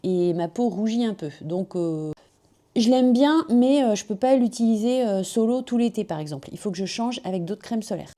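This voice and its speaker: A woman from France, a beauty vlogger, chatting casually about finished products at home, slightly hoarse from a cold, with lots of fillers and self-corrections, on a small clip-on mic. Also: mid-range voice, expressive, moderate pace, warm tone. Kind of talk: casual product review chat. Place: at home with a machine running nearby. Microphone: small magnetic clip-on mic.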